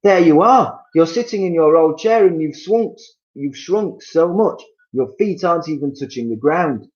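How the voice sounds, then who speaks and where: A man's voice: mid-range voice, expressive, measured pace, darkish tone, lo-fi sound, pitched above the performer's own voice, lightly acted. A man voicing a nasty old man who taunts and jeers at his wife in a storybook reading. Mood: taunting. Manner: taunting, jeering. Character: cruel gloating old man, nasty prankster.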